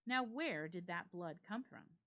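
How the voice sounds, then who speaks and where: A woman voicing a puzzled, uneasy gentleman host in a ghost story, with emphatic, questioning delivery. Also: lowish voice, lively intonation, measured pace, dull audio. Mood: uneasy, intrigued.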